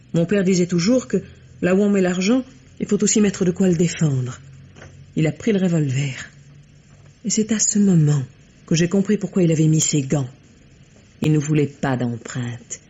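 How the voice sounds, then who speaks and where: An actress playing a grave, distraught woman with a husky voice in a vintage French radio drama. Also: sits low, warm tone, dull audio. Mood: grave, confessing.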